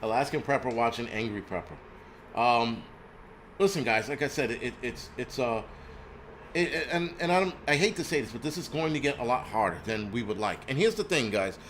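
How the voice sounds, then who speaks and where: A man's voice: medium pitch, lively intonation, a little fast, fairly bright tone, slight background noise. Blunt, profane New man in his forties. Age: 40s. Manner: rambling with frequent restarts. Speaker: host. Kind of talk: casual live-stream talk.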